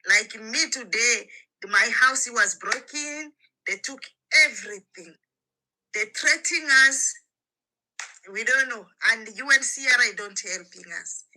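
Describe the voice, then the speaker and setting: A woman calls in to a live stream with a very bright, somewhat high voice. She speaks in halting, broken English. Her tone is pleading. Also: rough recording. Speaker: caller. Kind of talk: call-in comment on a live stream.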